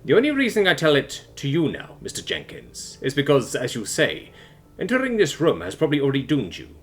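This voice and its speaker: A man's voice: medium pitch, huge pitch swings, fairly quick, soft background music. A man voicing a doomed fugitive who confides his story with formal, grave resignation in an audiobook.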